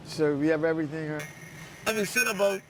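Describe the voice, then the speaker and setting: High-pitched man telling casual stories. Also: quick pace, noisy background. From Bermuda. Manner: animated anecdotes, quotes people, repeats phrases. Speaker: interview guest, restaurant owner. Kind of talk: casual storytelling chat.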